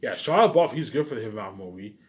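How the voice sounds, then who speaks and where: A man's voice: fairly low pitch, expressive, racing. A man talking casually in a rambling, repetitive monologue, musing aloud like a vlogger. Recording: noisy amateur recording.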